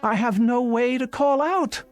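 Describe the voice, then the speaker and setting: A woman's voice: fairly high pitch, unhurried, faint music behind, natural voice. An older woman playing an anxious, querulous elderly invalid in a radio drama, speaking formally and insistently. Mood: agitated, insistent.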